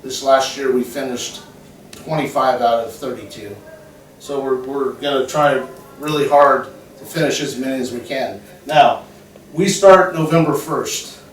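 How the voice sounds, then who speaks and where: A man's voice: medium pitch, a little slow. A male shop teacher talks to parents in a plain, easygoing way, often letting his phrases trail off. He sits far from the mic in a very echoey room with a faint hiss. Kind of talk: addressing parents at a school open evening.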